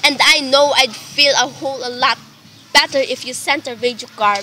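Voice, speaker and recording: A woman's voice: high voice, normal speed, bright, forceful tone, a little hiss or hum. A woman playing an anxious, demanding invalid wife in a radio play, fretful, pleading and dramatic. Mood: insistent, worried.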